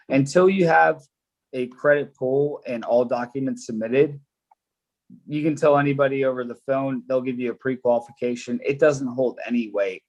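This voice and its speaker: Loan officer, male, first language Polish, casual explainer with fillers, mid-pitched. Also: a little mellow, low-quality recording. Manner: explanatory, loose, lots of fillers and restarts. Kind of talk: casual live-stream Q&A, explaining mortgages.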